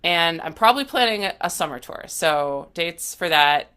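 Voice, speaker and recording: A woman's voice: on the low side, expressive, normal speed, bright, forceful tone, clean tone. A woman talking casually as an interview guest, a musician speaking in an earnest, reflective way.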